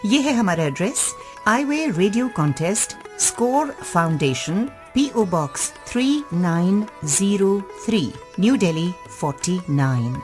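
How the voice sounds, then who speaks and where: A woman's voice: medium pitch, sing-song, a little slow, a little mellow. A woman co-hosting a radio show, reading scripted announcements to the listeners. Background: music.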